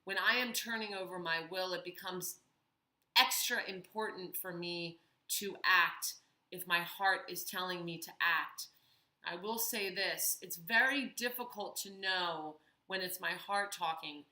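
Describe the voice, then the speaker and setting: A woman giving an earnest, reflective talk for her video series, with thoughtful pauses and fillers. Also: on the low side, bright, forceful tone, roomy sound. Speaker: vlogger. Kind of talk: reflective personal talk to camera.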